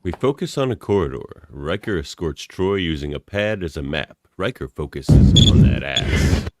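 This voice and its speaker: A man's voice: rumbling low, lively intonation, normal speed, noisy background. A man narrating a radio play script in a playful, tongue-in-cheek way. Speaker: podcast narrator.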